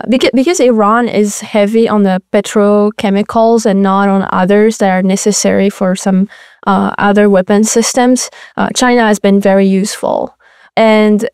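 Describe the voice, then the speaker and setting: A woman's voice: mid-range voice, darkish tone, smooth, no room sound, clean studio sound. A woman from Morocco, a research fellow giving careful, explanatory interview answers.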